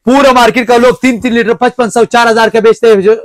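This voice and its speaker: High-pitched salesman pitching hard. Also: steady tone, quick pace, dry recording. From Pakistan. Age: young adult. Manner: emphatic, repetitive salesman talk with frequent check-in questions. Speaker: shopkeeper demonstrating appliances. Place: small crowded appliance shop, indoors. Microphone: clip-on lapel mic.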